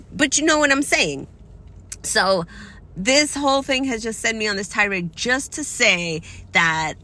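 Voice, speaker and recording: Candid, swearing woman vlogger, bright voice. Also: medium pitch, a little hiss or hum. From United States. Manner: candid, frustrated, swears freely. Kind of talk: casual personal monologue.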